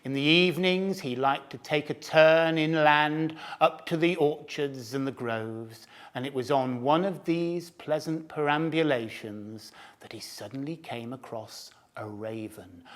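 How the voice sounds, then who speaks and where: A man narrating a storybook tale expressively, dropping at times into hushed whispers. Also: a little high.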